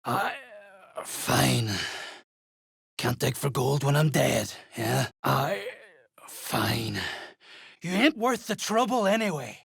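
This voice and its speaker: An actor playing a coarse, greedy duergar mercenary in a fantasy video game, speaking gruffly in rough, sweary slang. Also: mid-range voice, expressive, slow, long pauses, dry recording, heavily acted. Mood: gruff, irritated. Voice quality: gravelly, husky.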